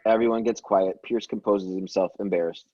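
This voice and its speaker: A man's voice: fairly low pitch, steady tone, fairly quick, mellow, no room sound. A man reading stage directions as narrator in an amateur table read, stumbling now and then and correcting himself. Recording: dull, dry lo-fi microphone.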